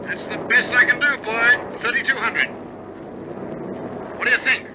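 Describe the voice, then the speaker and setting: A man's voice: very high voice, unhurried. A man playing an RAF bomber crewman in an old-style radio drama, speaking in a clipped, urgent, stoic military way. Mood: tense, stoic. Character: male RAF bomber crewmen in tense wartime flight. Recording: noisy old recording, loud aircraft engine drone. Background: aircraft engine roar.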